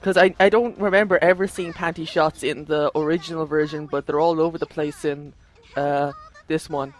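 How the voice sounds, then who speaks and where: A man's voice: a little high, steady tone, normal speed, rough recording. A man giving casual, conversational commentary on a video game. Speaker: let's play commentator. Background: game music.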